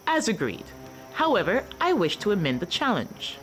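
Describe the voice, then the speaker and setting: Woman playing an imperious, very animated fantasy lady. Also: mid-range voice, normal speed, soft background music, low-quality recording. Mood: formal, demanding. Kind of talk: radio play acting.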